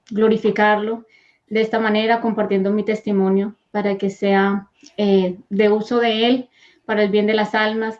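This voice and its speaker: A woman from Colombia, earnestly sharing her personal faith testimony as a guest. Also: medium pitch. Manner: soft, earnest and heartfelt, with gentle fillers. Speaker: guest, host's wife. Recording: dull, lo-fi sound.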